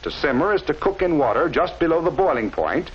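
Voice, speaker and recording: A man narrating a scripted 1940s instructional film in a measured, didactic way with careful enunciation, on a vintage film soundtrack. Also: fairly high pitch, sing-song, lo-fi sound, slight background noise.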